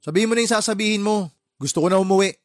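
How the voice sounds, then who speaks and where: Male actor as indignant Filipino younger brother, radio drama: high voice, rapid delivery, bitter and sarcastic. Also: close to an everyday voice. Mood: exasperated. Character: resentful younger brother, hardworking, blunt and sarcastic. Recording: music under the voice.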